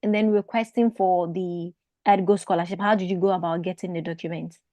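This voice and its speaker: A woman's voice: fairly low pitch, fairly even intonation, a little fast, dark tone, no echo, highs cut off. Woman hosting a Q&A, dry sound. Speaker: host of a live Q&A. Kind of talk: casual interview questioning.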